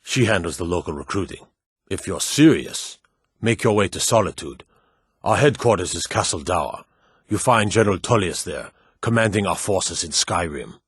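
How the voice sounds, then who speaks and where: A low-voiced proud fantasy warrior. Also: male voice, animated, moderate pace, slightly rough, dry recording, studio quality. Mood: dutiful, weary. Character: gruff, proud fantasy Nordic warrior and guard. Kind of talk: dramatic video game dialogue.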